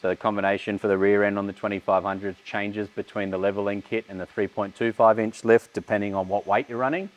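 A man's voice: on the low side, level tone, quick pace, few pauses. A man, giving a casual walkaround tour of a vehicle workshop with frequent fillers. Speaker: workshop host. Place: large vehicle workshop indoors.

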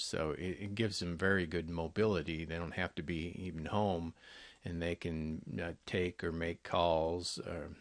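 A man giving an informal, halting product walkthrough as a company representative, explaining things in a conversational way. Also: low-pitched, fairly bright tone, no room sound. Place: close, dry voice-over on a screen recording.

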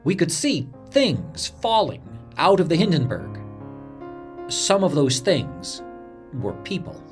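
A man's voice: a little high, huge pitch swings, takes it slowly, over music, exaggerated voice. A man playing an earnest radio reporter, dramatically recalling a disaster he witnessed, in a first-person story. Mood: shaken. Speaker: narrator of a radio reporter's memories.

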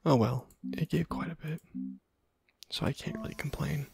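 A man whispering ASMR game commentary close to the mic, breathy and muttering dryly to himself. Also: on the high side, moderate pace, darkish tone, slight background noise. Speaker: gaming video creator.

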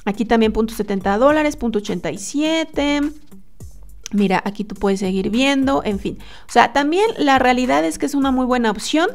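A woman's voice: a little high, moderate pace, soft background music. A woman in her thirties talking casually to a webcam, walking viewers through websites with lots of fillers and restarts. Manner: chatty, animated, many fillers and restarts. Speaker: online money-making vlogger. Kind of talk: casual screen-share tutorial. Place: indoors at a desk, webcam.

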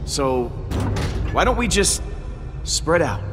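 An actor playing a cocky, slangy young American space adventurer in a video game, with a wry manner. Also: male voice, a little high, very animated, slow pace, a bit bright, in a large hall, faint music behind, heavily acted. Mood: wry, brisk. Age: young adult.

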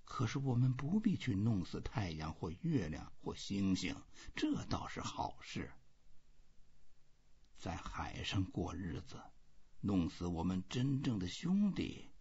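A weary old fisherman from a storybook, read by a man, stoic and reflective. He muses slowly to himself in a very breathy, husky voice that rises and falls freely. The sound is a little muffled. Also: sits low, very animated, a little mellow, exaggerated voice. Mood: quiet wondering.

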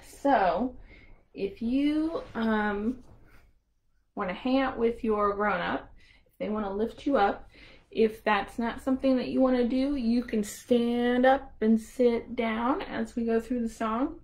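A woman's voice: fairly high pitch, slow pace, a little mellow. A woman hosting a storytime for young children, talking simply and encouragingly to toddlers. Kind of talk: interactive children's storytime with songs and rhymes.

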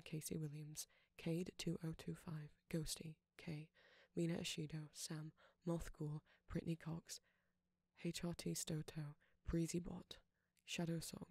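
A woman with a low voice reads out credits in a list-like recitation. Her intonation stays very level from one name to the next, and the sound is dry, with no room around it. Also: gravelly, dark tone, husky, no room sound. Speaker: audio creator thanking patrons.

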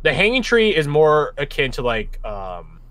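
A man with a bright, forceful voice runs a tabletop game over voice chat. He talks in a loose, rambling way, stopping to hunt for words as he sets the scene. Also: on the high side, huge pitch swings, a little slow, no echo. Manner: rambling, casual, searches for words. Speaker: game master.

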